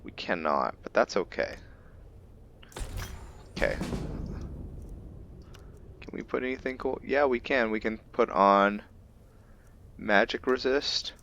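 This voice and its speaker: A man with a deep voice narrates his game role-play casually. He speaks very slowly, musing aloud as "we". The recording is a little dull. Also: expressive, creaky, a bit bright, slight background noise. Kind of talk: casual playthrough commentary.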